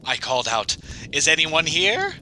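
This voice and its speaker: A man's voice: on the high side, wildly swooping pitch, normal speed, sharp tone, voice pushed up, exaggerated voice, poor audio. A man voicing a timid, superstitious schoolmaster in an audio drama, with fussy, hesitant, genteel old-fashioned diction. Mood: eerie, self-important. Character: timid, polite schoolmaster, nervous yet boastfully superstitious. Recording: clear ambient noise under the voice.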